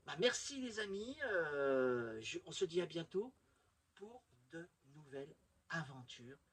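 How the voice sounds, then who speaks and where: A man's voice: a little high, big pitch swings, measured pace, a bit bright, slightly rough. A man chatting casually and enthusiastically as he hosts a rambling home video about his collection. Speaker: host of a collectors' video channel. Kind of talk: casual vlog chat showing a collection. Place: indoors at home. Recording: noisy home recording.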